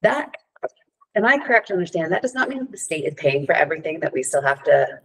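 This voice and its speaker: Woman, creaky voice, raspy, conversational, meeting participant, library room. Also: low voice, big pitch swings, fast talker. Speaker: board meeting participant asking a question. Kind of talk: conversational questioning.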